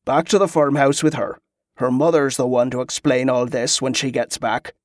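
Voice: mid-range voice, steady tone, normal speed, no room sound, clean studio sound, close to an everyday voice. A performer voicing a sternly kind, fatherly country man in an audiobook, scolding in rustic turns of phrase. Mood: gently stern.

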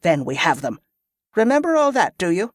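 A man's voice: high voice, very animated, moderate pace, no room sound, clean studio sound, pitched above the performer's own voice. A man voicing a stern, scornful female military commander who gives clipped orders in inverted dialect phrasing, in a fantasy audiobook. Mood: scornful, instructing.

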